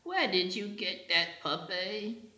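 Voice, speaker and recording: A woman playing a muddled, suspicious child who speaks haltingly and trips over words in an improvised radio play. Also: mid-range voice, lively intonation, heavy background noise. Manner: halting, tongue-tangled. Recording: roomy, echoey voice recording.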